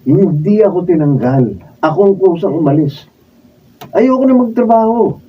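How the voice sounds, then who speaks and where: A man's voice: high voice, expressive, normal speed, breathy edge. A Filipino man playing a lazy, sullen young husband who whines and complains in a radio drama, over a muffled recording. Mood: irritated, sullen. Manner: whiny, complaining. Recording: muffled, noisy room, distant mic.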